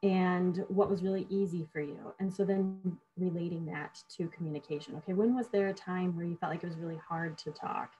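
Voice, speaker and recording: Low-voiced woman speech therapist chatting. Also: fairly even intonation, fairly quick, few pauses, warm tone, dull audio. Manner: explains by talking through client examples. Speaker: speech-language pathologist. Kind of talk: casual professional conversation.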